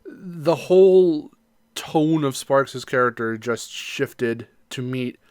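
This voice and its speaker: A man co-hosting a casual podcast discussion, talking in a halting, reflective way and hedging his opinions. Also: mid-range voice, lively intonation, darkish tone, smooth, no echo, clean studio sound. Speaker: podcast co-host.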